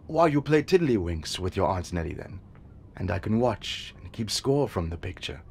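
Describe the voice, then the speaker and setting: An actor playing a gentle sailor father who patiently coaxes his frightened daughter. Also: fairly low pitch, big pitch swings, normal speed, slightly rough, heavily acted. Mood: coaxing. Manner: soft, patient and coaxing. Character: tender seafaring father coaxing his scared daughter.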